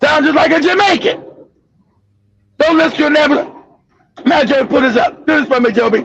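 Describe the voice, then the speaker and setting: A man hosting a show, delivering a loud, profane, mocking commentary rant. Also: very high voice, expressive, moderate pace, creaky, no echo, rough recording. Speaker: show host.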